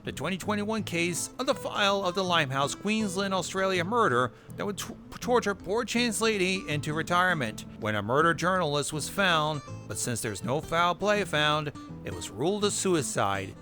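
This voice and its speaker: A man's voice: sits high, expressive, normal speed. A man hosting a narrated recap, with wry asides and casual swearing. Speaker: video host. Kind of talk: narrated recap of horror web series. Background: music bed.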